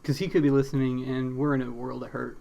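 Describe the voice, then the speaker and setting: Man, medium pitch, comedy podcast co-host, dry humor, casual banter. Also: little pitch movement, fast, gravelly, mellow.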